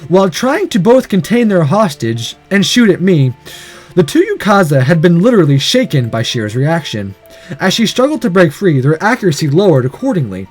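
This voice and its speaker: A man's voice: high-pitched, big pitch swings, a little fast, husky, soft background music. A man reading a visual novel aloud, mixing dramatic narration with casual asides.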